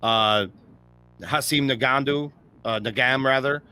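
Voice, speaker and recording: A man co-hosting a casual sports podcast, talking in an animated way and laughing often. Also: mid-range voice, clean tone. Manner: animated, laughs often, breaks into quick impressions. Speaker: podcast co-host.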